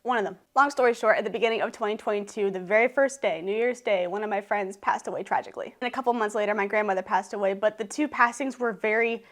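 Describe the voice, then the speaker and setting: A woman's voice: mid-range voice, quick pace, hardly any pauses. A thirty-year-old woman, chatting to the camera in her living room, emotional and laughing as she talks. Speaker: YouTuber. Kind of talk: casual vlog talk to camera.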